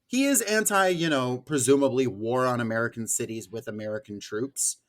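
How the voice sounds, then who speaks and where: Male podcast co-host, sardonic and sweary, casual news commentary in an average-pitched voice. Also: normal speed. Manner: sardonic, profane.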